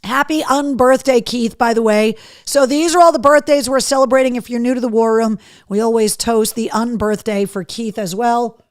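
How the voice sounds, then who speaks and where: Female livestream host, middle-aged, somewhat high voice with a slight hoarseness, candid and cheeky chat, close dry sound. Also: fairly even intonation, normal speed, hardly any pauses, dry recording. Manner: candid, cheeky, mildly sweary. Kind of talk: casual live chat to viewers, reading out comments.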